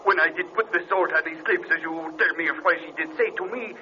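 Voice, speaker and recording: An actor playing a fearful, loyal French aviator with a French accent in an old radio horror drama, agitated and halting. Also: male voice, fairly high pitch, lightly acted. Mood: alarmed, horrified. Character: excitable, fearful Frenchman, loyal flying companion. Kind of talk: 1940s radio horror acting. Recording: noisy, dull old radio recording.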